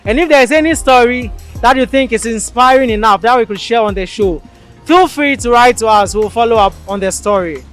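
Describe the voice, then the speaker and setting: A man hosts an interview show in a notably high voice. He sounds upbeat and promotional, leading with follow-up questions. Faint music plays behind him. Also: a little fast, fairly bright tone. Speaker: host.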